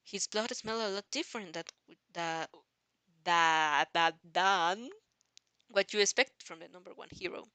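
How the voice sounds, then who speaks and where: Amateur female narrator for dramatic fan fiction, bright forceful tone, dry close sound, halting delivery with repeated phrases. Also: fairly low pitch, smooth, no room sound. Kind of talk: dramatic second-person story narration.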